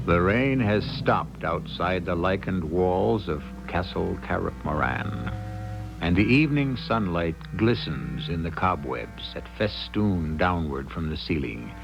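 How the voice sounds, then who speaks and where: An older man giving measured, suspenseful scripted narration as host of a 1970s radio mystery drama. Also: low-pitched, expressive, gravelly. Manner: measured, ornate, suspenseful delivery. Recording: muffled old radio broadcast over music.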